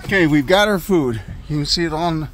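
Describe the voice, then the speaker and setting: A man's voice: fairly high pitch, expressive, fairly quick. A man chatting casually with family in a moving van, teasing and joking, as the one filming. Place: inside a moving minivan. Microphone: handheld camera in the back. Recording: loud road noise inside moving van.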